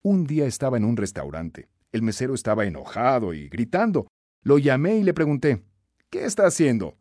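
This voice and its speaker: A man narrating a scripted self-help audiobook in an emphatic, instructive, expressive way. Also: medium pitch, a little fast, no room sound, clean studio sound.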